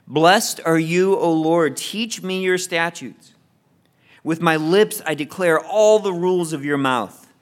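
A man's voice: on the high side, slow. A man leading a church Bible study class, reading scripture aloud and inviting people to share, often repeating words as he restarts.

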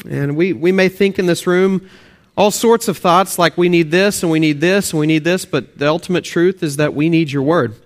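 A young man with a Texan accent, preaching in a church with a fairly high voice. He speaks earnestly as a student pastor and leans into his key points. Small "uh" fillers break up his phrases. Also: moderate pace. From Texas and Oklahoma. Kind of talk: sermon with prayer. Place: church sanctuary.

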